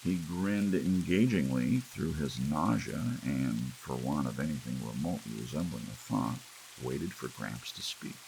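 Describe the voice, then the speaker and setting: A man reading a story aloud as an audiobook narrator, in a relaxed bedtime style. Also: very deep voice, a little slow, vocal fry, rough recording. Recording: faint hiss and rain sound. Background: soft rain.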